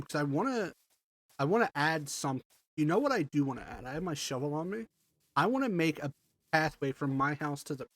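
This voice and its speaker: A man's voice: fairly high pitch, fairly bright tone. Congested man thinking aloud while gaming. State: congested from allergies. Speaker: livestream gamer. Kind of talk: casual gameplay commentary.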